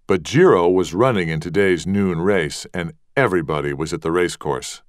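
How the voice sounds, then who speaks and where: A man narrating a literary audiobook with measured, deliberate phrasing. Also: low-pitched, no echo, studio quality.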